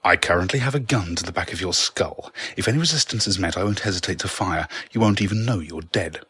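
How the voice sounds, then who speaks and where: A man's voice: sits low, quick pace, sharp tone, breathy edge, no echo, clean studio sound, heavily acted. A man voicing a bitter, treacherous male army general, scornful and emphatic. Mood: threatening. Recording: music under the voice.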